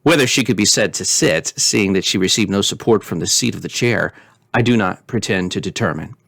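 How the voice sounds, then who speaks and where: A man reading a story aloud in an expressive, measured way, as a pastor hosting storytime. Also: fairly low pitch, creaky, no echo, studio quality. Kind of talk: storybook reading with informal recap.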